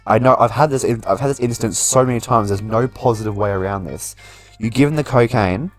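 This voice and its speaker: A man's voice: mid-range voice, fairly quick, dry recording. A man doing casual video game commentary, reading the on-screen story aloud and adding his own remarks. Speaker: gaming video host. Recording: faint game music behind a dry voice. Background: music.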